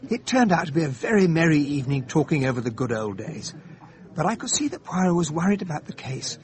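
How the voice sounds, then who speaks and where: Detective's sidekick for radio drama: English, earnest and loyal, high-pitched for a man, very expressive. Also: fast talker. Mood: concerned, recounting. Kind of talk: radio drama acting and narration.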